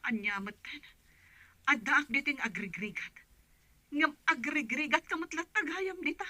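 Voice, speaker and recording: A woman's voice: high-pitched, unhurried, sharp tone, no room sound, full character voice. A woman acting a struggling, worried middle-aged mother in an Ilocano radio drama, insistent, with a Filipino accent. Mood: exasperated. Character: struggling mother working away, worried and exasperated.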